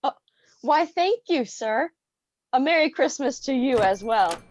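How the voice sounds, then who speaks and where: A woman's voice: high-pitched, lively intonation, a little slow, darkish tone, no echo, low-quality recording. A young woman playing a timid, polite male clerk in a school radio play. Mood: timid, deferential. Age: teenager or young adult. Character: timid, polite clerk Bob Cratchit, a man.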